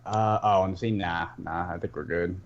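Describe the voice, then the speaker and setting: A man talking casually and haltingly on a fan podcast, often repeating and reworking his phrases. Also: low-pitched, fairly even intonation, a crowd in the background. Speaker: podcast panelist. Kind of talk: casual fan discussion of an animated series. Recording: muffled mic with clear background noise.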